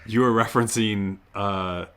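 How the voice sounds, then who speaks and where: Guy with kinda low voice asking questions, super casual, loose tentative phrasing. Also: steady tone, unhurried, a bit bright. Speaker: interviewer asking audience questions. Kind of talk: casual chat, informal questions.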